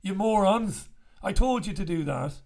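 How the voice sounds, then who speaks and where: Croaky gravelly man chatting to camera. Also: high-pitched, lively intonation, a little slow, a little mellow. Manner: chatty, blunt, swears freely. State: croaky after illness. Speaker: host. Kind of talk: casual direct-to-camera chat. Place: small bright room indoors. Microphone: studio mic on stand in front.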